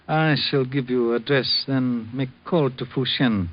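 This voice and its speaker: A man voices a wise, cryptic old shopkeeper in an old radio drama. He talks very slowly in broken English, weighing every word. The sound is dull and lo-fi, like an old broadcast. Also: medium pitch, natural voice. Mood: measured. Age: older. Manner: slow, measured, broken English with proverbs. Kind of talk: 1940s radio acting.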